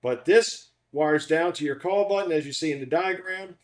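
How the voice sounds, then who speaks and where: A man's voice: on the high side, moderate pace. Methodical man explaining hardware. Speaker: company product demonstrator. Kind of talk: instructional product walkthrough.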